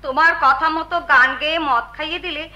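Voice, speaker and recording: An actress playing a seductive, scheming courtesan in a declamatory folk theatre play, coaxing and cold-blooded. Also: female voice, sits high, fairly even intonation, quick pace, pushed and bright. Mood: conspiratorial. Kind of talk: Bengali jatra folk theatre acting, declamatory.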